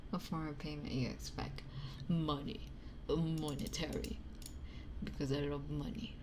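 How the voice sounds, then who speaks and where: A young woman ASMR streamer chatting to her viewers in a breathy, mostly whispered voice, casual, candid and playfully self-mocking, close on a binaural microphone. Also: deep voice, little pitch movement, measured pace, noisy background. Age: young adult. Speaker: ASMR livestreamer. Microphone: 3Dio binaural ASMR microphone, close up.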